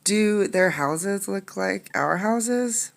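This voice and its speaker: A woman's voice: medium pitch, expressive, extremely slow, gravelly, background noise. A woman teacher reading a picture book aloud to children, instructing and posing questions as she goes. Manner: instructive, poses questions to young listeners. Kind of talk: interactive storybook read-aloud for children.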